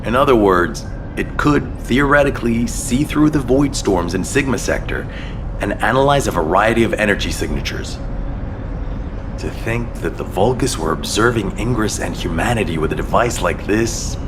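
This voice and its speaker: A man's voice: on the low side, animated, moderate pace, background noise. An actor playing an earnest male field operative in a video game, talking in a conversational military briefing manner. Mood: earnest, businesslike.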